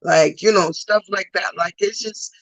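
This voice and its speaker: A woman's voice: mid-range voice, measured pace, no echo, rough recording. A woman comedian from Trinidad with a thick Trinidadian accent tinged with New York, chatting bluntly and emphatically on a podcast. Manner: blunt, emphatic, repeats points. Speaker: comedian guest. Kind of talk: casual podcast chat.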